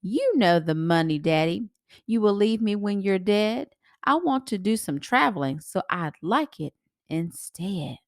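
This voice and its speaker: A woman's voice: medium pitch, lively intonation, a little slow, no echo, studio quality. A woman voicing a cheeky young son in a children's storybook, pleading in sing-song rhyme. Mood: wheedling. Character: cheeky young runaway son, wheedling and dramatic.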